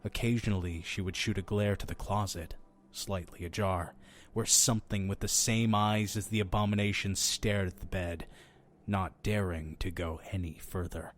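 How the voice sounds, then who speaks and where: Man playing a low-voiced, mysterious storytelling innkeeper. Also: fairly even intonation, moderate pace, lightly acted. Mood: hushed, solemn storytelling. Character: mysterious, friendly all-seeing innkeeper who tells stories. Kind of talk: audio drama storytelling and dialogue.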